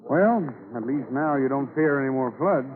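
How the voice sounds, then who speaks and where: An actor playing a curious, skeptical visitor to a frontier town in an old radio drama, mild and questioning. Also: male voice, medium pitch, animated, moderate pace, natural voice. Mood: incredulous. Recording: scratchy, narrow-band old radio recording.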